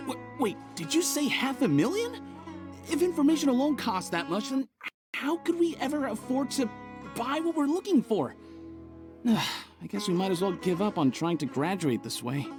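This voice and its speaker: A woman's voice: a little high, lively intonation, normal speed, over music, close to an everyday voice. A woman playing an easily flustered young student in a video game. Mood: incredulous, dejected.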